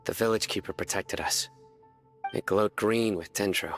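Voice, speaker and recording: A low, composed scholar. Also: male voice, moderate pace. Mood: thoughtful. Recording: soft game music behind voice.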